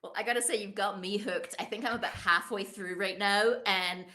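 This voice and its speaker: A woman's voice: medium pitch, steady tone, fairly quick, pushed and bright. A woman from Scotland, hosting a casual interview in a chatty, effusive, informal way. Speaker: host, interviewer.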